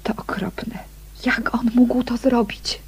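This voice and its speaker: An actress playing a gossipy neighbour in a radio mystery, confiding and often hushed while feigning discretion. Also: female voice, a little high, fairly even intonation, slow, breathy edge, in a whisper, full character voice. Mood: indignant. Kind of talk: radio mystery drama acting.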